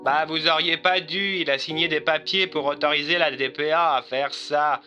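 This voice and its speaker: A man voices a weary, sardonic police operator in an audio drama. The voice is high-set, extremely sharp and forceful, curt in delivery, with a slightly muffled band-limited sound. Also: steady tone, normal speed, faint music behind. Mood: sour, sarcastic. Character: sour, sarcastic, weary police switchboard officer.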